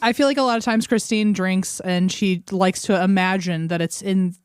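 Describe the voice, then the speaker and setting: A woman's voice: mid-range voice, fairly even intonation, normal speed, clear, resonant tone, no echo, studio quality. A woman chatting casually as a podcast guest, with a wry, bantering manner. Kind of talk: casual, joking chat.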